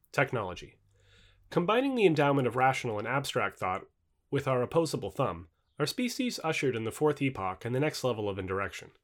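A man reading scripted nonfiction as an audiobook narrator, with formal, measured enunciation. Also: medium pitch.

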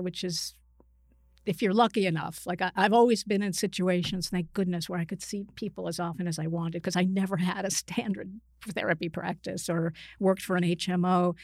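An older woman, a psychiatrist guest speaking conversationally in an interview, halting and reflective, often qualifying herself mid-sentence. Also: medium pitch, no echo, studio quality. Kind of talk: conversational interview, recounting clinical experience.

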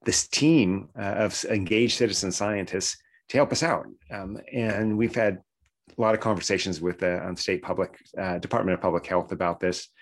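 A man's voice: sits low, normal speed. A man talking conversationally as an interview guest, explaining things step by step like a researcher.

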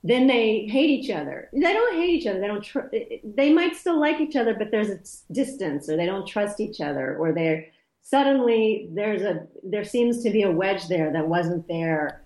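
A woman's voice: medium pitch, lively intonation, normal speed, darkish tone, reverberant, poor audio. A woman who grew up speaking Polish, talking as an interview guest in a loose, thoughtful way, often restarting her sentences. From Poland. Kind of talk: casual conversational interview.

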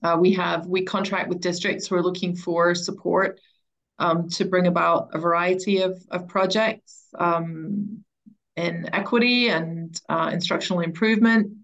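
A woman's voice: on the low side, steady tone, a little slow, a bit bright. A middle-aged woman speaking as an interview guest, explaining her work in a conversational way. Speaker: interview guest, education coach.

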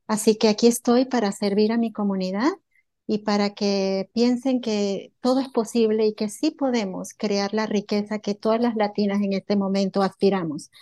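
A woman's voice: mid-range voice, steady tone, moderate pace, mellow. A woman from Bolivia answering interview questions in an earnest way, with many filler sounds. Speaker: entrepreneur guest.

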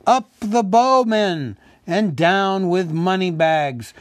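A man's voice: a little high, moderate pace, a little mellow, dry recording, clean studio sound, close to an everyday voice. A man voicing fervent, discontented townspeople crying out in a storybook reading. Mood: rallying. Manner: crying out, raised voice. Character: angry, fervent crowd of lake townspeople.

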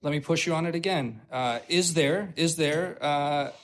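A man co-hosting a podcast, building arguments in halting starts with repeated words and quick emphatic agreements. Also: fairly high pitch. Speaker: podcast co-host. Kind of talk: casual intellectual discussion.

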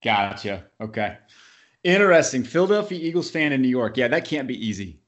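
A man hosting a casual podcast interview, asking questions with quick upbeat reactions. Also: medium pitch, expressive, highs cut off. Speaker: podcast host.

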